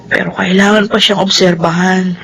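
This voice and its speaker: A woman's voice: fairly low pitch, steady tone, moderate pace, creaky, fairly bright tone, slight background noise. A woman playing a devoted, anxious young mother in a Filipino radio drama, earnest and emotive, with a Filipino accent. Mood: worried, weary.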